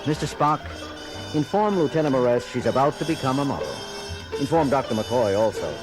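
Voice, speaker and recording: An actor playing a bold, commanding starship captain in a theatrical science fiction audio drama. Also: male voice, mid-range voice, animated, fairly quick, lightly acted, poor audio. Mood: assured, dry. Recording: loud music behind the voice. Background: dramatic music.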